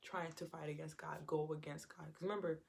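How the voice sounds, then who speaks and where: A woman's voice: low-pitched, level tone, fairly quick, gravelly, a little mellow. A woman giving an informal Bible teaching in a conversational way, repeating her phrases and acting out quotes. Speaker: preacher.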